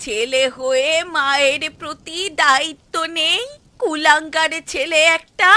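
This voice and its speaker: A woman voicing a melodramatic, scolding old mother in a cartoon, guilt-tripping in drawn-out complaints. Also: sits high, fairly even intonation, pushed and bright. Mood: angry demanding. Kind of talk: Bengali cartoon voice acting.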